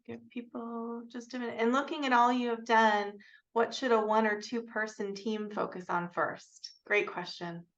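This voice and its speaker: A woman's voice: fairly high pitch, steady tone, normal speed, fairly bright tone. A woman moderating an audience Q&A, reading out questions and thanking speakers, on slightly dull, lo-fi audio. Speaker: session moderator.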